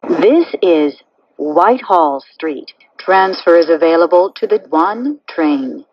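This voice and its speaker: A woman reading scripted automated train announcements in measured, segmented phrases. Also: lowish voice, animated, lo-fi sound.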